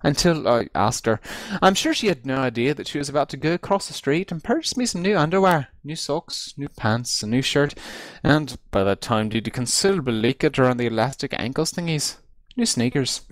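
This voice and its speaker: A man's voice: medium pitch, animated, brisk, no echo. A man reading a humorous first-person story aloud with theatrical, emphatic delivery, drawing out stressed phrases, recorded close up over online voice chat. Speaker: amateur reader of a comic story.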